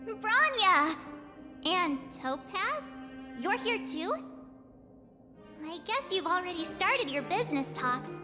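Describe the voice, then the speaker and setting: Bubbly teenage girl, very high voice, expressive game dialogue, long reverb, music behind. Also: slow pace, pauses often, full character voice. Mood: surprised. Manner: bright-spirited, girlish, expressive. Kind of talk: scripted video game dialogue.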